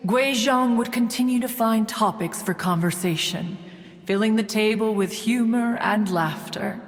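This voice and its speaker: A woman's voice: mid-range voice, long reverb tail, lightly acted. A woman voicing a proud, ancient bird-like immortal in a video game, telling stories in pompous, archaic and formal speech. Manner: pompous, archaic, formal, refers to herself as "one". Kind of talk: theatrical game storytelling. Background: background music.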